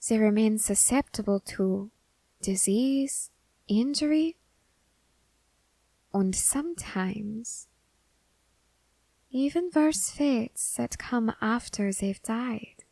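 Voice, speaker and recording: A woman playing a scholarly, quietly menacing Grim Reaper in an ASMR roleplay, speaking in formal, deliberate phrases with pauses. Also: a little high, dry recording, heavily acted, low-quality recording. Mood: intrigued, musing. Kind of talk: ASMR character roleplay audio drama.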